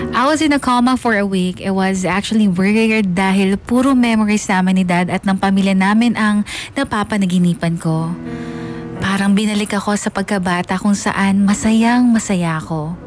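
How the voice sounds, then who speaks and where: Casting: performer as reflective young adult narrator, mid-pitched, confiding radio drama delivery, clear music underneath. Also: close to an everyday voice. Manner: reflective, confiding, mixes Tagalog and English. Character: earnest young adult letter sender recounting a painful past.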